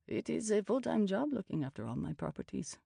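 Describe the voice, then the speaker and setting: A man's voice: high-pitched, big pitch swings, brisk, gravelly, mellow, no echo, clean studio sound, pitched above the performer's own voice, exaggerated voice. A woman voicing a breezy, middle-aged male landlord with a lilting British Indian accent and formal, effusive phrasing in an audiobook. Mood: complaining. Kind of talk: audiobook character acting.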